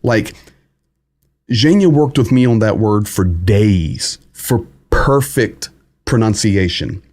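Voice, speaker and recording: A lower-pitched man talks through a live update for his viewers. His phrasing is loose and halting, full of pauses and restarts, and he breaks off to greet people in the chat. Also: expressive, darkish tone. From United States. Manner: greets viewers and reads chat comments aloud. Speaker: host of a live war update stream. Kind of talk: casual live-stream commentary.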